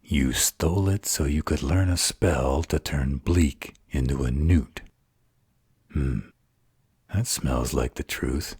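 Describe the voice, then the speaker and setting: A man's voice: very deep voice, takes it slowly, darkish tone, slightly rough, no room sound, studio quality, heavily acted. A man playing a snarky, distrustful supernatural fighter in an audio roleplay, with a mocking drawl. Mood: suspicious.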